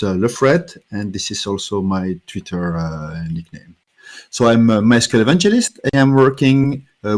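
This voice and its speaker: Belgian man with a French accent, halting. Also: lowish voice. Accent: French-speaking Belgian. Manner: halting, many "uh" fillers and restarts. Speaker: conference speaker. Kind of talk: technical conference talk.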